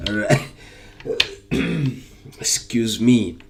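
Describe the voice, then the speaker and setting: A young man hosting a live trading stream, sick with flu so his voice is less clear, upbeat and hyping up his viewers with plenty of ums. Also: on the low side, a little hiss or hum. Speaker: trading session host.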